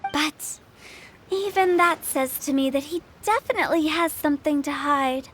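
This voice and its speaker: A woman's voice: sits high, moderate pace, a bit bright, a little hiss or hum. An actress voicing a shrewd, mischievous young woman who runs a funeral parlor, telling things in a conspiratorial tone. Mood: scheming. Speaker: funeral director.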